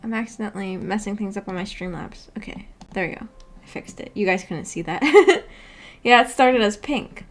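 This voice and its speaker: A woman hosting a casual livestream discussion, musing tentatively out loud with a giggly manner. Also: medium pitch, normal speed. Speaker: host of a book club stream.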